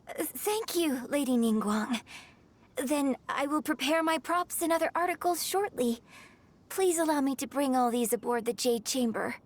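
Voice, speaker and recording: A woman's voice: sits high, lively intonation, a little slow. A woman voicing a gracious young opera singer in a video game, with a polite, courteous manner. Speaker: opera performer.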